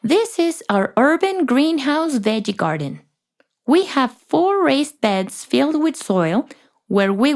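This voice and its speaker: A woman voices a youngish gardening teacher in a children's audiobook. She explains in an organized, encouraging way, with a raised pitch and lively intonation. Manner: clear instructive explaining. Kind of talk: children's audiobook character acting.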